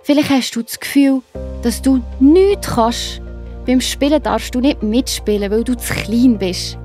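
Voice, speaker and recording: A woman's voice: a little high, unhurried, dark tone. Swiss woman narrating for children, music behind. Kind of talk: children's Bible storytelling.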